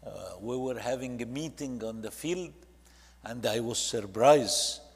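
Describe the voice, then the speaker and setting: A man's voice: mid-range voice, husky. Egyptian man presenting in a reverberant hall. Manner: halting, with short phrases and non-native English grammar. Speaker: church ministry leader presenting to a congregation. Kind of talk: presentation talk narrating photo slides.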